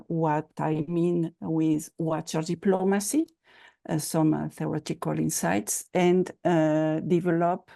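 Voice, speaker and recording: A woman's voice: low-pitched, creaky, warm tone. A woman giving an academic presentation as guest lecturer, speaking hesitantly with many filler sounds. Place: conference session.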